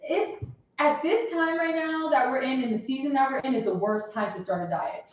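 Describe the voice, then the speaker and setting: A woman's voice: high voice, moderate pace, far from the mic. A woman co-hosting a podcast, speaking bluntly and emphatically in casual chat, swearing freely. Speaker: podcast co-host. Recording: echoey room, dull sound.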